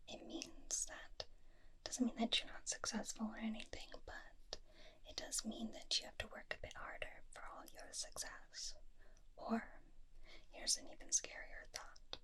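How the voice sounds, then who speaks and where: A woman playing a flirty, free-spirited witch in an intimate ASMR roleplay, speaking in a soft, breathy whisper with a teasing, confiding manner. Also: on the high side, completely flat delivery, unhurried, full character voice. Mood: teasing, intrigued. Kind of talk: ASMR roleplay, personal attention.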